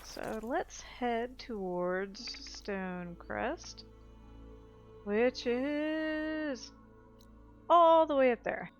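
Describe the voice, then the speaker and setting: A woman's voice: fairly high pitch, drawn-out words, muffled recording, faint music behind. A woman narrating her own gameplay in a casual, upbeat way, thinking aloud as she goes. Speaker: streamer playing and narrating a video game.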